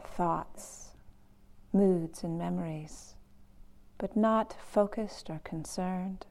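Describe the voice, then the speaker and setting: Calm woman doing guided meditation, really slow w long pauses, voice a bit low. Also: vocal fry. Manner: calm, unhurried, long pauses between short phrases. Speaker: meditation teacher.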